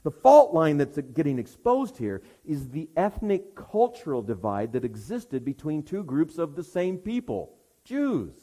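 Male preacher for expository sermon. Medium-pitched voice, very lively rise and fall, emphatic phrasing with rhetorical pauses.

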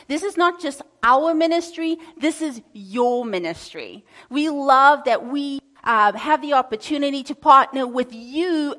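A woman missionary with a booming voice, speaking upbeat to a church congregation and thanking them warmly.

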